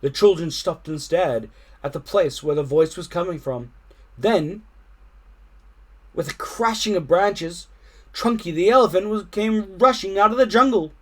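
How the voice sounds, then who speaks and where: Man, slightly high voice, storytime reader, expressive narration for children, dramatic emphasis. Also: unhurried.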